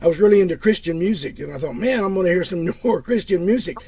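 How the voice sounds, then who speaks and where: Man, 50s, high-pitched, mellow tone, amused storyteller, casual meeting. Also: lively intonation, fast, breathy edge. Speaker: meeting member. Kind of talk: casual anecdote.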